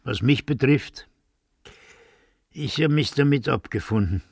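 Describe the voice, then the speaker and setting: A creaky-voiced villager, wary and resigned. He confides haltingly and deliberately in a close, dry radio drama recording. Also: mid-range voice, darkish tone, dry recording. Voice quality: creaky, gravelly. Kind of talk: radio play acting.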